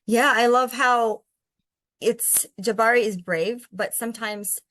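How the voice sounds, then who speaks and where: A woman's voice: a little high, slow, a bit bright, no echo. A woman who hosts read-alouds of picture books and chats about them in an encouraging way. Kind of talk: picture-book read-aloud and casual discussion.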